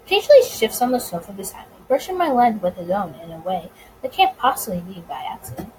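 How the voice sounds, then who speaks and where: A woman's voice: medium pitch, sing-song, fast talker, background noise. A woman reading visual novel narration aloud expressively, sometimes stumbling on words and rereading them. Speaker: video game let's player.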